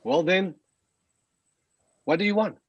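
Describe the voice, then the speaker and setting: A man's voice: high-pitched, slow, breathy edge, heavily acted. A man playing a lackadaisical, cynical army veteran in a screenplay table read, dismissive and laid-back. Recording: muffled, echoey room sound.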